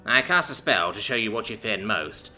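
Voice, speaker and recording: A calm military captain speaks in a courteous, formal manner, as a man voices him for a storybook. The tone is bright, and the pitch moves a good deal. Soft music plays faintly underneath. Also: normal speed. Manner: formal, polite. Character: formal, calm middle-aged male captain.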